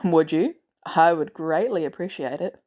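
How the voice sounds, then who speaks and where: A woman's voice: deep voice, a little slow, darkish tone. A woman voicing a predatory male office worker in a story reading, falsely polite and insinuating. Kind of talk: storybook character dialogue.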